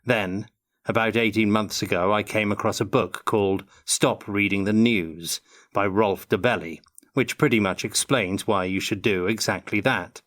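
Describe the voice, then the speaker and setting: An older man giving measured, articulate answers as an interview guest in a prepared Q&A. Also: lowish voice, steady tone, a bit bright. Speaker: interview guest, tabletop game designer.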